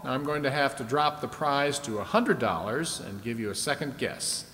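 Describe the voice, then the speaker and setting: A man's voice: mid-range voice, animated, normal speed, a bit bright, lightly acted. Man acting as vintage radio quiz host, echoey hall. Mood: genial, teasing. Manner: explanatory, prompting with questions. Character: genial, chatty male host of a vintage audience-stunt show. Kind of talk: old-time radio show acting. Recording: echoey hall with background noise.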